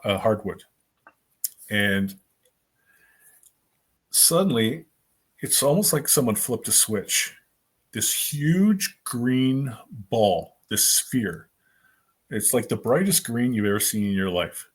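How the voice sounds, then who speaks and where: A man in his fifties, a guest author, telling his stories in a rambling, animated way with lots of fillers. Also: lowish voice, slightly rough. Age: 50s. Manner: animated, rambling storyteller with many fillers and restarts. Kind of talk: casual storytelling in a podcast interview.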